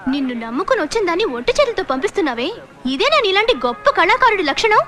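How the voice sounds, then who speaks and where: A woman's voice: very high voice, very animated. An actress playing a feisty young policewoman in a comedy film, wheedling and dramatic as she talks. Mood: hurt, sulky. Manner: dramatic, cajoling, quick to plead. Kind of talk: Telugu film comedy acting. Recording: music behind the dialogue.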